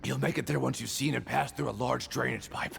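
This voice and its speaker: A man voicing a resigned gang henchman with a gravelly voice in a video game. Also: mid-range voice, steady tone, a little fast, soft background music.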